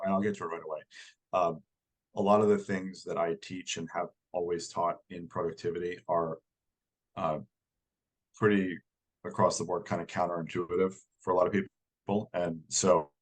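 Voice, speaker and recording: A man in his forties from New York, slightly croaky from a cold, giving a talk over a video call with frequent restarts. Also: sits low, poor audio. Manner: hesitant, many restarts. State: hoarse from a cold. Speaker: guest speaker. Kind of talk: casual presentation.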